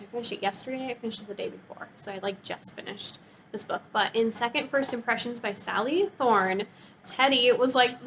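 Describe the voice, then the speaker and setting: High-voiced woman chatting casually about books. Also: fairly bright tone, slight background noise, poor audio. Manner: bubbly, gushing, informal. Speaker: book reviewer and vlogger. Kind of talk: casual chatty book review.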